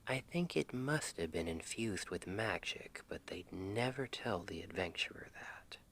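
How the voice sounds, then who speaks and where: Hushed, slow, low-voiced soothing boyfriend played by a man. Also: animated, creaky, heavily acted. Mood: soft, playful storytelling. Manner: hushed, half-whispered, gentle and intimate. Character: tender, playful boyfriend soothing his partner to sleep. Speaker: ASMR roleplay performer. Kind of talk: ASMR roleplay, soft bedtime storytelling.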